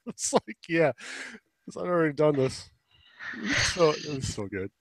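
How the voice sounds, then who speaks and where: Guy cohosting a casual podcast, voice a bit high, really up and down, acts out stories, no room echo. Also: takes it slowly. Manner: animated storytelling with acted-out quotes. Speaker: podcast co-host. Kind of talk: casual chat about films and video games.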